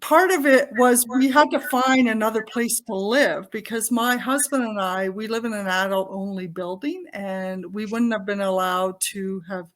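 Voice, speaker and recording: A woman's voice: mid-range voice, moderate pace, few pauses. Chatty grandmother author from Canada. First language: Italian. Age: older. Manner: chatty, laughs often, frequent restarts. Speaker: author and podcast guest. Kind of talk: casual interview chat.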